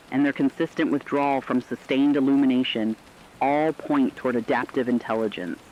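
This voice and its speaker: Guy doing ominous radio news co-anchor, formal and deliberate, muffled lo-fi sound, faint rain behind. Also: medium pitch, natural voice. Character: grave radio co-anchor delivering ominous revelations. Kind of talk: scripted radio news report. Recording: dull lo-fi broadcast with faint rain noise.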